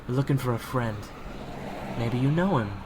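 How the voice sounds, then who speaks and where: An actor playing a wry, level-headed member of a crew in an audio drama. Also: male voice, medium pitch, normal speed, noisy background, natural voice. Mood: firm.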